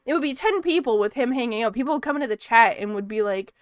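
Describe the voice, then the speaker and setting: A woman's voice: on the high side, lively intonation, quick pace, clean tone, no room sound. Female streamer, casual rambling chat, soothing voice, dry close-mic sound. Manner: rambling and chatty, laughs often. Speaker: art streamer.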